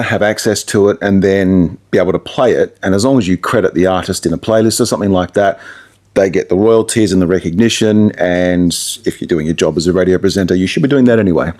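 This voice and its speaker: Low-voiced middle-aged Australian man chatting. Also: fairly quick, a little mellow. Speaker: radio presenter and DJ being interviewed. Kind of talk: casual conversational interview.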